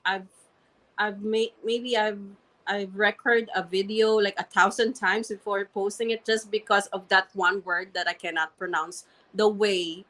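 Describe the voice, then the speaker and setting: This woman speaks very slowly and deliberately, with a strong non-native accent in her English. As an interview guest she tells her story earnestly, looping back over her words and restarting her phrases. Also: mid-range voice, a bit bright, low-quality recording. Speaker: interview guest, registered nurse and online marketer. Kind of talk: candid personal story in conversation.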